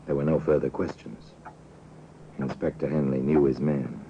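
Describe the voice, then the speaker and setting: Very deep, ominous radio narrator. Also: male voice, fairly even intonation. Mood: grave. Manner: measured, ominous, dramatic. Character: grave, brooding male narrator of crime tales. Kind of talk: old radio drama narration. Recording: hissy, muffled old radio recording.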